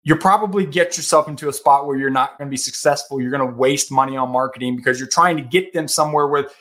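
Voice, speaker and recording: A man's voice: mid-range voice, quick pace, fairly bright tone. A man in his thirties, a guest on a podcast, giving earnest financial advice and often checking in with "right?". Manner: earnest, advisory, emphatic with repeated "right?". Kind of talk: casual podcast interview.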